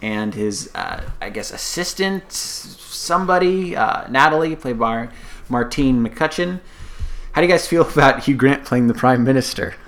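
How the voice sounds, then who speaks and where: A man's voice: medium pitch, expressive, normal speed, slight background noise. A man hosting a casual chat, rambling and restarting his sentences with frequent chuckles. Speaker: podcast host.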